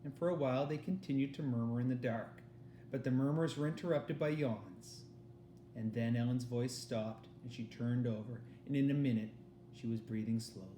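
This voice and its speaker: A man's voice: mid-range voice, normal speed, warm tone. A man reading a storybook aloud as a teacher does for students, recorded in an echoey room. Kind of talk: storybook read-aloud narration. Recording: echoey room with faint noise.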